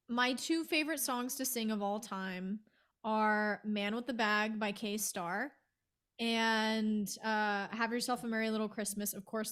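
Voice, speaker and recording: A woman's voice: mid-range voice, a bit bright. A woman, a singer guest, talking earnestly and enthusiastically in a casual interview.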